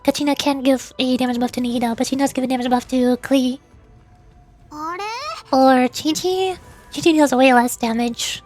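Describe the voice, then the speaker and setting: A high-voiced woman gives playful commentary on a gaming stream. She breaks into chirpy exclamations and little sound effects, with music faintly behind her. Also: steady tone, normal speed, darkish tone. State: sore voice from hours of streaming. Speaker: livestreamer, vtuber. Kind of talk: playful gaming stream commentary, reading game text aloud.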